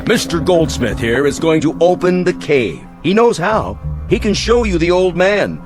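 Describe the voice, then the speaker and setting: An actor playing a domineering military officer who commands and rants at a crowd in a radio drama. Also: male voice, fairly high pitch, sing-song, moderate pace. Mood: commanding. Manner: commanding, ranting, shouting orders. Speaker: military leader rousing a crowd. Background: music score.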